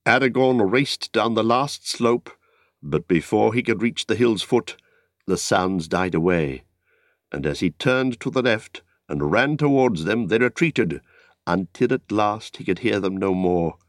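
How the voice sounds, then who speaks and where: A man narrating an epic story as an audiobook, in a dramatic, solemn and measured literary style. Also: fairly low pitch, lively intonation, no room sound, clean studio sound. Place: dry studio.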